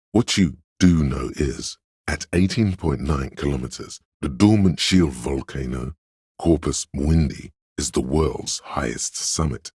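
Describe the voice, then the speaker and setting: A very deep, gravelly know-it-all inner voice. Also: male voice, a little mellow, dry recording, studio quality, heavily acted. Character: pedantic know-it-all inner voice, a walking encyclopedia of trivia. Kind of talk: dramatic game narration.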